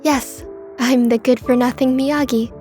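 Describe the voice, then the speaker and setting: A woman voicing a composed, gently teasing young woman in an amateur manga dub. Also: sits high, steady tone, a little slow, soft background music. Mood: playful, calm.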